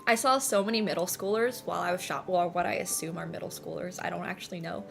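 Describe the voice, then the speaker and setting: A woman's voice: fairly low pitch, steady tone, fast talker, vocal fry, faint music behind. A young woman in her twenties whose first language is Finnish, chatting casually with her stream, laughing often and saying "like" and "bro" a lot. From Finland. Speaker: livestreamer.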